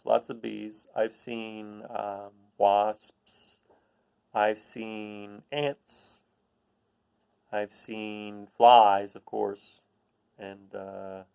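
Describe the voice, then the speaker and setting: A man's voice: lowish voice, vocal fry, clear, resonant tone, narrow, tinny audio. A man reading a children's picture book aloud, adding casual asides and putting questions to his listeners. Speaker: read-aloud host.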